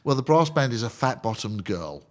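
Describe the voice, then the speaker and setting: A male conductor talking as a guest on a radio interview, with a voice of middling pitch. He explains with eager energy, cracks jokes and drifts into asides. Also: big pitch swings, moderate pace. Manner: enthusiastic, jokey, digressive explainer.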